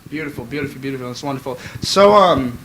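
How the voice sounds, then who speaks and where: A man's voice: medium pitch, expressive, quick pace, fairly bright tone, slight background noise, rough recording. A young man hosting a local talk show from a small bar, cracking crude jokes. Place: small bar venue, indoors.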